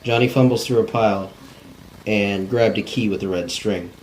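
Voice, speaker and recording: A man's voice: on the low side, moderate pace, darkish tone, rough recording. A man narrating stage directions in a plain, unpolished cold reading of a script. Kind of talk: scripted narration at a table read. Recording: faint background noise.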